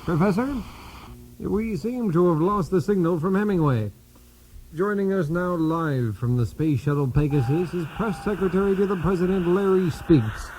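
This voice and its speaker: An actor playing a self-important, earnest network news anchor in a satirical radio play, with formal, insistent questioning. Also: male voice, sits high, normal speed, darkish tone, a little hiss or hum, natural voice, poor audio. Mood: earnest, probing. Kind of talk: satirical radio theater, mock news interview.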